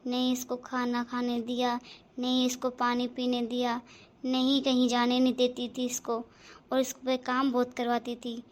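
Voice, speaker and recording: A woman's voice: monotone, unhurried, lightly acted. A woman playing a spiteful stepmother who insults her stepdaughter and later begs for forgiveness. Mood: pleading. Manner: shrill name-calling, later begging. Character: spiteful stepmother, shrill and scheming. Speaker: actor in a family drama. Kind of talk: amateur family drama acting.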